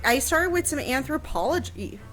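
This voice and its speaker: A woman with a fairly high voice explains history in a casual, conversational way. Her delivery is halting and searching, with music behind her. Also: expressive, a bit bright. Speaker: historian guest answering questions on a radio show.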